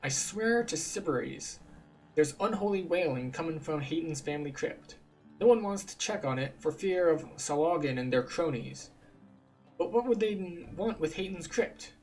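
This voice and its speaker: A man's voice: a little high, expressive, normal speed, soft background music, pitched above the performer's own voice, natural voice, rough recording. A man voicing a fretful fantasy game villager in an anxious, pleading read-aloud. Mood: worried. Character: fretful villager worried about a haunted crypt.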